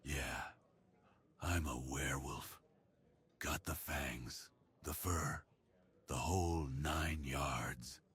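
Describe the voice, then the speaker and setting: A man plays a brooding werewolf with an extremely deep, heavily breathy, husky voice. He speaks slowly and intimately, as a lonely beast confiding his longing in an ASMR roleplay. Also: a bit bright, heavily acted. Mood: brooding, wistful.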